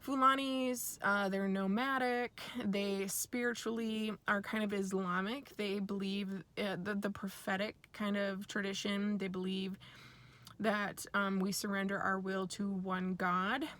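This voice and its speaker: A woman speaks at a slow, deliberate pace with a mid-range pitch. She gives a reflective talk on personal and spiritual matters, often pausing partway through a phrase. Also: level tone, fairly bright tone. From born and raised in Nigeria to American parents. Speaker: speaker sharing personal origin story.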